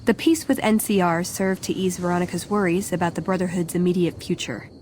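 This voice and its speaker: A woman playing a composed, measured narrator in a video game epilogue. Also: fairly low pitch, a little mellow, a little hiss or hum, close to an everyday voice. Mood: reflective.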